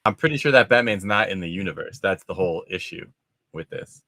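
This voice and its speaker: A man's voice: deep voice, lively intonation, brisk, bright, forceful tone, no room sound, studio quality. A man hosting a casual discussion show, chatting informally and steering the conversation. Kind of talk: casual movie discussion chat.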